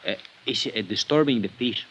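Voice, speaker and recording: A man's voice: mid-range voice, fairly bright tone, a little hiss or hum, natural voice. A man playing a hesitant, deferential Mexican police sergeant with a Mexican accent in a 1950s radio drama. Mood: flustered. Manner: halting, simple, deferential.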